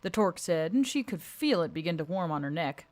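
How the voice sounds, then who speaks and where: A woman's voice: lowish voice, expressive, brisk, a little mellow. A woman narrator reading a dramatic action story from a script. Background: faint background music.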